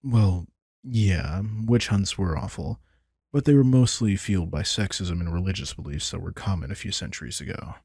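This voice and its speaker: A man voicing a powerful, calm vampire king in an intimate roleplay audio drama, soft-spoken and conversational, with a husky, gravelly voice. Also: low-pitched, moderate pace, no echo, clean studio sound, full character voice. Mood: serious, wry. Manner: soft-spoken, conversational, speaking to one listener. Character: powerful male vampire king, calm, soothing, teasing, protective.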